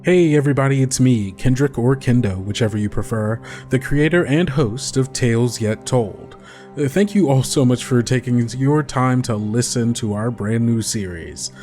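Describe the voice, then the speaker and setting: A man's voice: mid-range voice, moderate pace, mellow, soft background music. A man hosting an actual play podcast, giving an upbeat promotional read that appeals directly to listeners. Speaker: podcast host.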